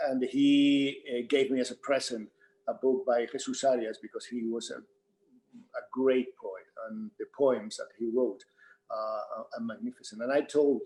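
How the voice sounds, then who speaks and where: A man with a dark, mellow voice and a Spanish accent chats on a panel as a theatre director. A native Spanish speaker, he talks in halting, self-corrected phrases. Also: medium pitch, rough recording. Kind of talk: informal panel talk.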